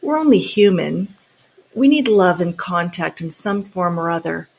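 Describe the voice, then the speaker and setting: A woman hosting a show, reading letters aloud in an earnest, measured way and adding pointed comments of her own. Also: on the low side, expressive, creaky, darkish tone, breathy edge.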